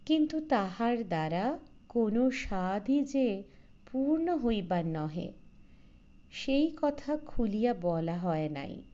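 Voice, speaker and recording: A woman narrates a classic literary story at an unhurried, slow pace. Her tone is mellow and relaxed, set in the middle of the female range. The recording sounds slightly dull and muffled. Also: fairly high pitch. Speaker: audiobook narrator.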